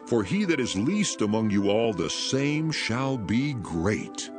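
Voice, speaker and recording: An actor playing an earnest, authoritative male teacher in a dramatized scripture reading, solemn and emphatic in his measured declarations. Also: mid-range voice, sing-song. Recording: music behind the voice.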